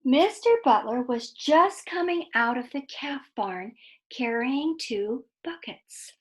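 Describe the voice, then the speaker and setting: A woman reading a children's story aloud in a deliberate, explanatory way, with asides to young listeners. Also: high-pitched, lively intonation.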